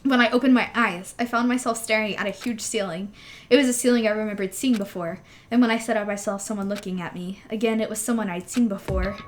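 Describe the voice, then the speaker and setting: A woman playing a shaken teenage student who narrates a mystery story in the first person, grave and earnest with dramatic pauses. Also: mid-range voice, steady tone, lightly acted.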